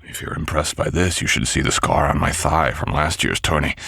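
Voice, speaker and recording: A man's voice: a bass voice, a little fast, gravelly, bright, forceful tone, husky, dry recording, clean studio sound, heavily acted. A man voicing a wounded medieval knight in a boyfriend roleplay, gallant and stubborn, speaking in teasing old-fashioned courtly phrases. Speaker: voice actor. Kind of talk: boyfriend ASMR roleplay audio.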